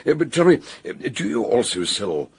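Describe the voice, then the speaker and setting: An actor playing a jovial, shrewd older British doctor-detective in a radio drama, with theatrical, courteous and deliberate delivery. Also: male voice, fairly low pitch, big pitch swings, a little mellow, husky, heavily acted. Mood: genial probing.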